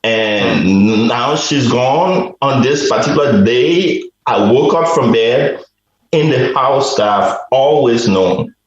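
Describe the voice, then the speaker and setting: A man from Liberia, a guest on a radio interview, telling a personal story slowly and reflectively, often restarting his sentences. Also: medium pitch, animated, heavy reverb, dull audio. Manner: slow, reflective, with repeated restarts.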